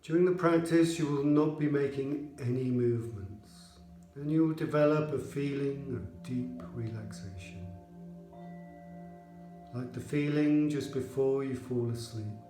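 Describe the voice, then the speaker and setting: A man leading a guided yoga relaxation as an instructor, speaking in a measured, soothing manner with pauses. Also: medium pitch, warm tone, echoey room, soft background music. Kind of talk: guided relaxation meditation narration.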